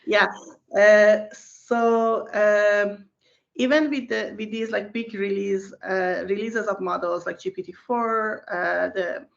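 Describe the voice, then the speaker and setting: A woman's voice: mid-range voice, fairly even intonation, slow pace, creaky, lo-fi sound. A woman whose first language is Persian, a research scientist answering interview questions in a thoughtful way with many fillers and restarts, heard over a video call.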